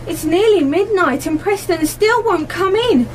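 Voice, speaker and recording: Woman doing caring earnest dog lady in radio play, super high lilting voice, bit of hiss. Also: lively intonation, a little slow. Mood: concerned. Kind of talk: amateur radio play acting. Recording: noisy live amateur radio broadcast recording.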